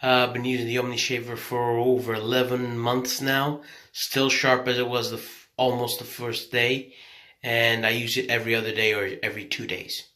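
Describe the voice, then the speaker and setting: A man from the UK giving a brisk, plain video testimonial. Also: mid-range voice, flat delivery, sharp tone. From United Kingdom.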